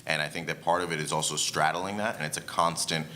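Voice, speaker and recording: A man moderating a panel tells a story to the audience. His voice is extremely deep yet bright and forceful, and he talks fast. Faint noise sits beneath him. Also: fairly even intonation. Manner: anecdotal, storytelling. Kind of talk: panel discussion.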